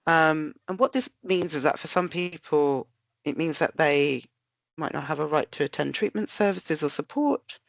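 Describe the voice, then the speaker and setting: Woman, nasal from a cold, gravelly fry, close dry sound, webinar presenter. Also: deep voice, moderate pace, dry recording. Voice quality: nasal, bunged-up. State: blocked with a cold. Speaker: research director presenting.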